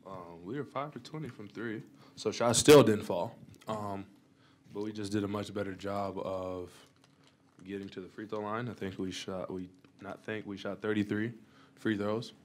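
A man's voice: fairly low pitch, steady tone, normal speed, slight background noise. A young man, a college basketball player, answering questions at a postgame press conference with a confident, playful manner, speaking into a table mic. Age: young adult. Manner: confident, playful, talks in long runs. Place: press conference room with sponsor backdrop. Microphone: table mic in front.

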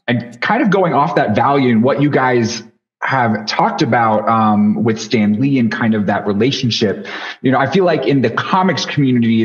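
A man in his thirties hosts a conversational interview with a slightly low voice. The call audio is dull and echoey. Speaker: interviewer, host. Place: home room with posters, indoors. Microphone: video call, headphones, boom-arm microphone.

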